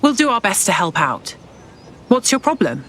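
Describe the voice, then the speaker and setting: An actress playing an earnest, eager young resistance recruiter in a video game cutscene. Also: female voice, medium pitch, very animated, normal speed, fairly bright tone, slight background noise. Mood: earnest, concerned.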